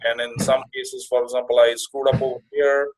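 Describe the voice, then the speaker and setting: Halting man giving an informal walkthrough. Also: medium pitch, little pitch movement, rough recording. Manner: halting, often repeats and restarts phrases. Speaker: career coach presenting to conference call members.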